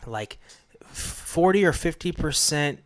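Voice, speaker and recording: A man's voice: fairly high pitch, expressive, slow. A man co-hosting a podcast in casual, laid-back chat, jokey and peppering his sentences with filler "like". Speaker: podcast co-host. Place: indoor room shared with co-host.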